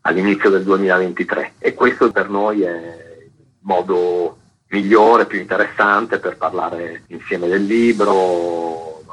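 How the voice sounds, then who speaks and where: Deep-voiced man with a husky, bright edge. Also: fairly even intonation, takes it slowly, rough recording. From Italy. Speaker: novelist interviewed on radio. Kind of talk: measured interview talk.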